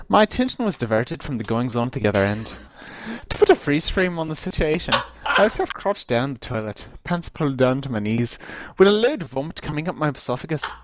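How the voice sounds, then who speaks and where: Male reader for comic first-person tale: highly varied intonation, theatrical emphasis, close dry sound. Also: no room sound. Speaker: amateur reader of a comic story. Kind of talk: dramatic reading of a humorous first-person story. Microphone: close computer mic on voice chat.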